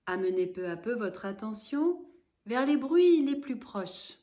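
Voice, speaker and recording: Slow-paced woman guiding meditation. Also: fairly high pitch. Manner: calm, measured, gently instructing with pauses. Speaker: yoga nidra instructor. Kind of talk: guided relaxation meditation, spoken instruction.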